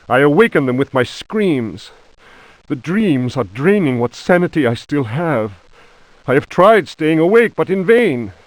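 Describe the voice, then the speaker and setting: A man's voice: mid-range voice, dry recording, lightly acted. An actor playing a haunted, anxious painter who is sliding into madness, reading his diary in a theatrical, brooding way for a horror video game. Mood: horrified, agitated.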